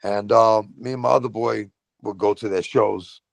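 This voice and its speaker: Gravelly Brooklyn man telling stories. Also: fairly low pitch, normal speed, no echo. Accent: New York. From Brooklyn, New York. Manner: profane, animated storytelling with voiced quotes. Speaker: guest, metal vocalist. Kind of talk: casual storytelling.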